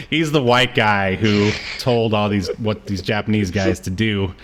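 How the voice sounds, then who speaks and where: A man's voice: on the low side, measured pace, fairly bright tone. A man hosting a podcast, chatting casually about old media with a joking, teasing manner. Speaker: podcast host.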